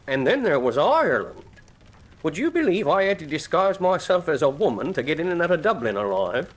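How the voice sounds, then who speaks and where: A chatty working-class hangman, retired and proud of his trade, in a radio mystery. His fairly high voice bounces along in folksy, plain-spoken fashion. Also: big pitch swings, a little fast. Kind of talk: full-cast radio mystery drama acting.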